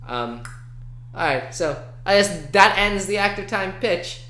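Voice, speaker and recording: A man with a bright, slightly high voice co-hosts a casual talk show. He rambles informally and with enthusiasm, like someone chatting with a friend. The room around him is echoey. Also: animated, a little slow. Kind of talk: casual chat about video games and anime.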